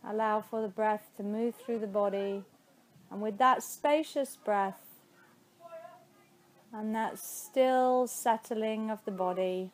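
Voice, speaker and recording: A woman teaching yoga nidra, guiding a meditation in a soothing, unhurried way with spacious pauses between phrases. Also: medium pitch, steady tone, a little hiss or hum. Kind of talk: guided meditation.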